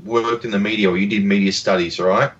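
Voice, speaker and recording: A man's voice: lowish voice, fairly even intonation, fairly quick, a bit bright. A man hosting a podcast, steering the talk about films with brief questions and quips. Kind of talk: casual film discussion. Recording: distant, dull-sounding mic.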